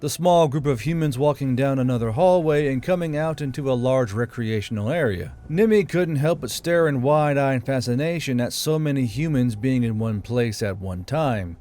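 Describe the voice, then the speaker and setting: Male narrator, medium pitch, scripted fantasy storytelling for an audiobook. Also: normal speed, hardly any pauses, dark tone.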